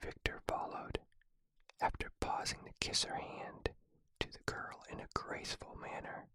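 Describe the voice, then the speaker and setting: A reader narrating a story entirely in a deliberate, unhurried whisper. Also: bright, forceful tone, no echo. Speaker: audiobook reader.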